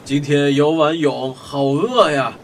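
A man playing a lively, expressive young boy in a children's radio drama. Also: fairly high pitch, slow, a little hiss or hum. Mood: playful.

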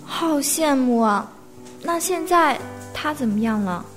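A woman's voice: high voice, animated, slow, faint music behind. A woman playing a devoted, sympathetic young companion who speaks earnestly in an audio drama. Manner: supportive, earnest. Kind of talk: Chinese audio drama acting.

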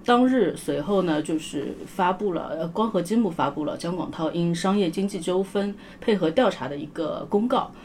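Woman, lowish pitch, expert guest, measured step-by-step explanation, conversational, slight background noise. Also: animated, darkish tone. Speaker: lawyer, podcast guest. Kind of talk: explanatory panel talk.

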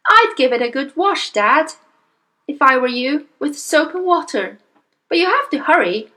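Sly little girl for children's story played by a woman: high-pitched, calm, softly innocent. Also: a little slow, heavily acted. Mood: calmly advising. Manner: quiet, composed. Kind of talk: acted children's audiobook.

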